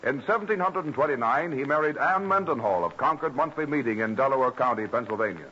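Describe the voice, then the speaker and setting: A man narrating an old radio drama from a script, in a measured, formal storytelling manner. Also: medium pitch, expressive, pushed and bright. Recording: dull old radio recording, faint music.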